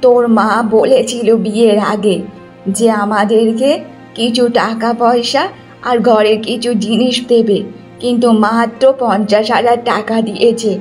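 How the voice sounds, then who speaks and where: A woman's voice: a little high, fairly even intonation, measured pace, darkish tone, faint music behind, lightly acted. A woman voicing a harsh, greedy, middle-aged mother-in-law in a cartoon moral story, scolding and contemptuous. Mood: accusing.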